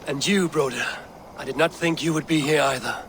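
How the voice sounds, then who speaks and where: An actor playing a stern male Viking raid leader in a video game cutscene, speaking in a grave, measured, commanding way. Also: on the high side, fairly bright tone, a little hiss or hum. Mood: resolute.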